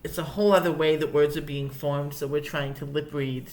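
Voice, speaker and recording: A woman co-hosting a podcast in a relaxed, casual chat. She's wry and teasing, wrapping up points and steering the conversation. A faint hum sits in the background. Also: deep voice, fairly quick. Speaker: podcast co-host.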